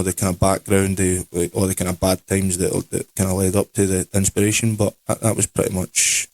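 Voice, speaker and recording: A Scottish man in his late twenties co-hosting a podcast in a relaxed, rambling chat. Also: lowish voice, dry recording, poor audio. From Scotland. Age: 20s. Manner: relaxed, rambling, with Scottish words like aye and wee. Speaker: podcast co-host. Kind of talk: casual chat.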